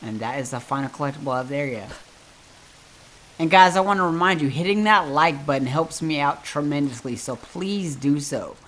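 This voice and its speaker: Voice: mid-range voice, animated, normal speed, a bit bright, slight background noise. A host narrating a casual step-by-step video game guide.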